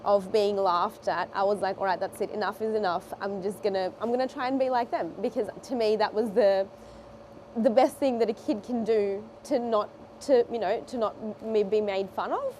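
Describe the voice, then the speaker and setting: Young woman, australian accent, grew up in bangladesh, mid pitch, thoughtful and giggly, faint hum behind. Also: normal speed, darkish tone. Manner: reflective, laughs easily. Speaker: interviewee. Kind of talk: documentary interview.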